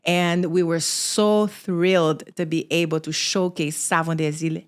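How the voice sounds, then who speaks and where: A woman's voice: fairly low pitch, little pitch movement, measured pace, smooth. A middle-aged woman from Haiti, telling her own story conversationally as a podcast guest. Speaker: podcast guest, small business founder.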